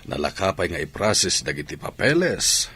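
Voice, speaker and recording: A man's voice: deep voice, big pitch swings, normal speed, pushed and bright, husky, exaggerated voice. A man acting an athlete's instructive superior in an audio drama. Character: male superior preparing an athlete for travel abroad. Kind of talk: dialogue in an Ilocano audio drama.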